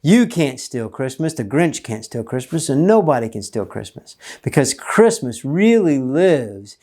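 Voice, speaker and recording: A man's voice: medium pitch, big pitch swings, a little slow, dark tone. A man reading a children's storybook aloud as storyteller, theatrical and emphatic.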